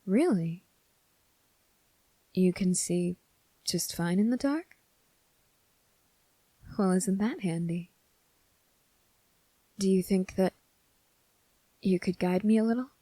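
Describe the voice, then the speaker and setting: Woman as kind young male cadet for ASMR roleplay; relaxed dark tone, gentle and reassuring, very dry sound. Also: medium pitch, takes it slowly, long pauses, no echo. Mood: kind, polite. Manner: soft, gentle, reassuring, polite. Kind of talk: ASMR character roleplay, spoken to the listener.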